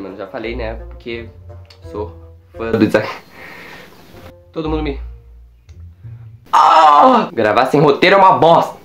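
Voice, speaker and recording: A teenage boy with a Brazilian Portuguese accent, answering viewer questions in a loose, unscripted vlog, joking and swearing a lot, filmed on a phone in a small bedroom. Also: medium pitch. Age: teens. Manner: slangy, jokey, swears a lot, self-mocking. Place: small bedroom with wooden walls, indoors. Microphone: phone or webcam at arm's length.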